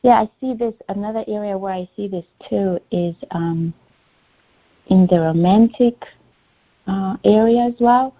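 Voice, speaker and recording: A woman's voice: medium pitch, a little slow, no echo. Hesitant woman, Albanian native, dull audio. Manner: hesitant, searching for words, many fillers. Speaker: client in a healing session. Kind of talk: personal sharing in a one-on-one session.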